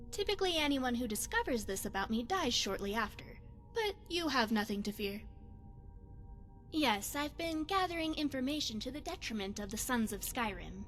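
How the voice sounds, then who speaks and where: A woman playing a poised, secretive spy devoted to her goddess in a video game, speaking in formal, measured phrases. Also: a little high, a bit bright. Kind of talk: scripted video game character dialogue.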